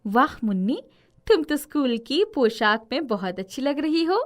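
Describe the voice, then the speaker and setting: Casting: kindly forest fairy, played by a woman, high-voiced, gentle and reassuring, for storybook audio. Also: lively intonation, moderate pace.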